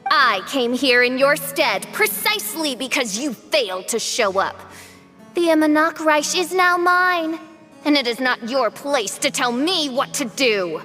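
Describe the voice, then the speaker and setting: A theatrical young princess in an anime game. The voice is high and forcefully bright, speaking in slow, grandiose, formal phrases over a music backing. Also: female voice, lively intonation, heavily acted. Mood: menacing, haughty. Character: theatrical young girl princess facing her cruel dark double.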